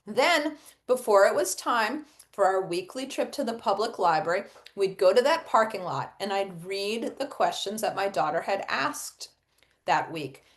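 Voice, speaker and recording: A woman's voice: mid-range voice, animated, a little slow. A middle-aged woman giving an instructional webinar for educators, explaining step by step and posing questions that she then answers herself. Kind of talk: instructional webinar presentation with acted read-aloud examples.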